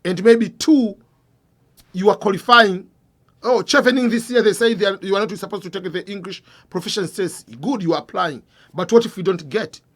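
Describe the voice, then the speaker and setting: A man hosting a scholarship advice channel, explaining in an earnest, informal way, repeating points and putting questions to the viewer, with his voice dropping low and quiet at the end of phrases. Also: moderate pace. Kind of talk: informal explanatory talk to camera.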